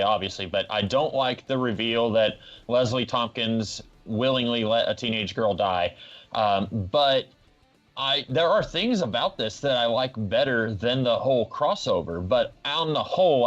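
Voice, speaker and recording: A man's voice: mid-range voice, fairly bright tone, dull audio. A man giving his opinions in a casual podcast discussion as a co-host.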